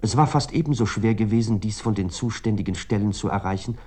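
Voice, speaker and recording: An actor playing a reflective, ironic literary narrator in a radio play, speaking in measured, articulate, long sentences. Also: male voice, lowish voice, darkish tone, breathy edge. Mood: measured, gently ironic.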